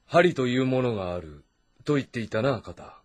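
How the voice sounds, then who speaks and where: An actor playing an impatient, imperious warlord of ancient China, curt and commanding, in an audio drama. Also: male voice, mid-range voice, animated, moderate pace, a little mellow, no room sound, close to an everyday voice. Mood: irritable. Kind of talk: audio drama dialogue, period commanding speech. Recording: voice over background music.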